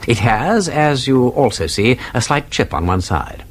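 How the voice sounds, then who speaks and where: An actor playing a witty, inquisitive gentleman sleuth in a radio drama, with an upper-class English accent and an urbane, argumentative, theatrical manner. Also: medium pitch, animated, measured pace, a little hiss or hum, natural voice. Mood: keen, persistent. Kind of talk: British radio drama acting.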